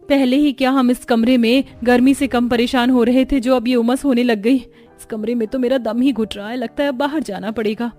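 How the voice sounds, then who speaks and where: A woman voicing harried, quarrelsome young daughters-in-law in a cartoon, complaining with a sharp tongue. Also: fairly high pitch, steady tone, normal speed, faint music behind, close to an everyday voice. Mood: exasperated. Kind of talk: scripted cartoon dialogue.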